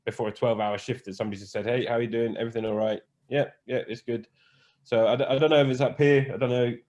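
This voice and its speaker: A man's voice: mid-range voice, steady tone, fast, a little mellow. A man born in England and raised in Spain, a guest in a casual interview, who thinks aloud with many fillers and restarts. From born in England, raised in Spain, Scottish parents. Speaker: podcast guest, cruise hospitality manager.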